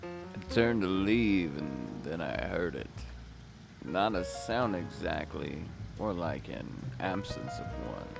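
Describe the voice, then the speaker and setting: Man playing a slow, gravelly noir private eye. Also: sits low, expressive, heavily acted. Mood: brooding. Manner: brooding, deliberate, wry. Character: world-weary, hard-boiled male noir private detective. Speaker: narrator and lead detective. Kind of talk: noir audio drama narration. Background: music.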